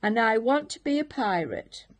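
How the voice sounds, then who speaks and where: A woman's voice: on the high side, expressive, moderate pace, pitched above the performer's own voice. A grandmother doing Rufus, an eager little pig. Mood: earnest. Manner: earnest, polite, insistent. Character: eager little boy pig who wants to be a pirate.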